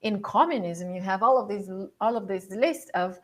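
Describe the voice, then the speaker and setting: A woman's voice: medium pitch, normal speed. A middle-aged woman from Romania giving an online leadership masterclass, chatting through her slides with fillers over a video call. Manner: conversational, thinking aloud with fillers. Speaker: leadership coach.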